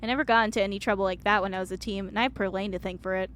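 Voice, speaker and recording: A woman's voice: mid-range voice, steady tone, rapid-fire, fairly bright tone, a little hiss or hum, close to an everyday voice. A woman voicing a curt, weary, guarded woman from a story game, terse and dismissive. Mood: bitter.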